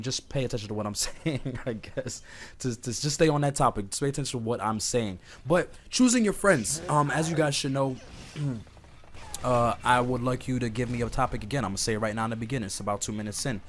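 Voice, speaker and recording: A man with a medium-pitched voice, a little hoarse from illness, hosts a casual online talk. He speaks informally and straight to the listener, rambling through his advice. A video game is audible behind him as noise. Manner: informal, conversational, addresses listeners directly. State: slightly hoarse from being sick, often clearing throat. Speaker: host of an online talk series. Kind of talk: casual rambling advice chat over gameplay. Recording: clear background noise, game sounds. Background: video game playing in the background.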